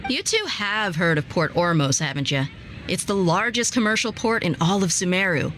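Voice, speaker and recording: Low-voiced, streetwise young mercenary woman. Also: lively intonation, moderate pace, a bit bright, slight background noise. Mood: frank, cautioning. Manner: informal, frank. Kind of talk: video game character dialogue.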